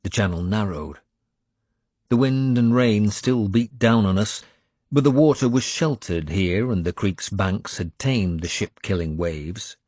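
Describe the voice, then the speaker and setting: Guy doing dramatic audiobook narration, low voice, dry close sound, old battle adventure story. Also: animated, measured pace, a little mellow, no echo. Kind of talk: dramatic first-person scripted narration of historical adventure.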